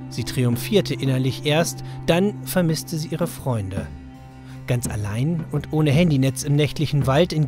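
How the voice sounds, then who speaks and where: A male narrator reads a scripted children's story. His voice is a little high for a man, and music plays clearly behind him. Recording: music under the voice.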